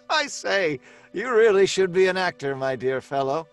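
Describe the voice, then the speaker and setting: An excitable, flippant writer in a vintage radio thriller, bantering and mockingly unafraid. His voice sits high and moves around a lot, with faint music behind it. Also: measured pace, exaggerated voice. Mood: mocking defiance. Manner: breezy, animated, bantering. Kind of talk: old-time radio drama acting.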